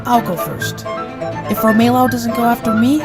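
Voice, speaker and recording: A woman's voice: a little high, flat delivery, moderate pace, over loud music, close to an everyday voice. A woman playing a loyal young creature sidekick, earnest and stubbornly brave, in an amateur cartoon-style audio drama. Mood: determined.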